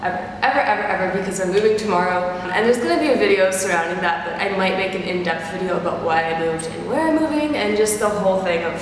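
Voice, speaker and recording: A woman's voice: medium pitch, quick pace, few pauses. A young woman in her twenties with a stuffy, nasal voice from a sinus infection, chatting bubbly and rambling to camera about her favorite products. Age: 20s. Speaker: beauty and fashion vlogger. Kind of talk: casual chat to camera. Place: large bright loft indoors. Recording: roomy echoey loft, mic far off, noise behind.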